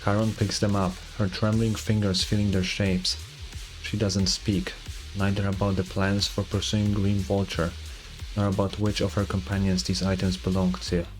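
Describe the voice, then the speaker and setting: A man streaming a video game, reading its story narration aloud with casual asides, over game music. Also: low-pitched.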